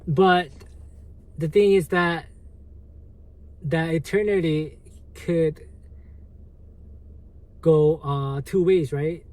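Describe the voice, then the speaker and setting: A man with a Korean accent, a native Korean speaker, hosting a livestream and answering viewer comments in a halting, hesitant way with lots of fillers. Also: on the high side, steady tone, a little mellow, no echo, poor audio. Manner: slow, halting, many fillers and restarts. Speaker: livestream host.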